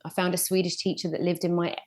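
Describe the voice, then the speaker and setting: A woman in her forties, a journalist, giving thoughtful, detailed answers in a relaxed interview, with frequent "kind of" fillers. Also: lowish voice, quick pace. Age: 40s. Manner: reflective, thorough, many "kind of" fillers. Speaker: guest journalist.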